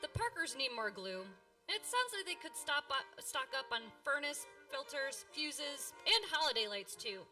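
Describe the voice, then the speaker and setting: A woman's voice: high voice, big pitch swings, normal speed, bright, forceful tone, soft background music. A woman announcer reading a scripted, upbeat radio commercial.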